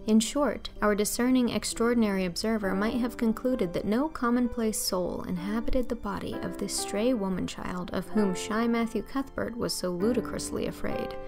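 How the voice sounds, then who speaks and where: A woman reading scripted audiobook narration, expressive and carefully phrased. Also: medium pitch, moderate pace, few pauses, music in the background.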